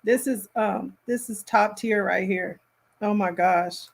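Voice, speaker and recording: A woman hosting a casual reaction commentary, speaking in an appalled, emphatic and halting way. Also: medium pitch, vocal fry.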